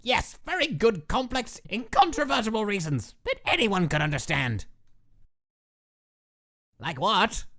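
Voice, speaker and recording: A woman voicing a loud, boastful young male skeleton with theatrical, indignant exclamations in a fan comic dub. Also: medium pitch, animated, unhurried, long pauses. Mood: sarcastic.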